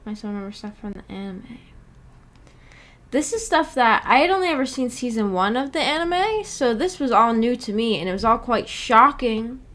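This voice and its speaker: A woman's voice: a little high, animated, normal speed, a bit bright. A woman hosting a casual book club livestream, chatting with viewers in a hesitant, giggly way and repeating words. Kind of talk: casual livestream chat, reading and answering chat.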